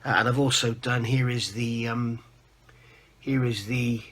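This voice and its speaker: Give this to a man with a husky, croaky voice that still has a bright, forceful edge. He is hosting a hobby update, talking casually and informally as he rambles through what he has been working on. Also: medium pitch, steady tone, unhurried. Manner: chatty, informal, rambling show-and-tell. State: croaky, recovering from losing his voice. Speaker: host of a scale modelling channel.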